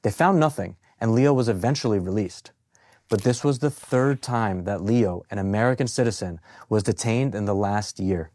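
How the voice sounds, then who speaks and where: A man narrating from a script as a legal advocate, speaking in a measured, earnest way. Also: fairly low pitch, darkish tone.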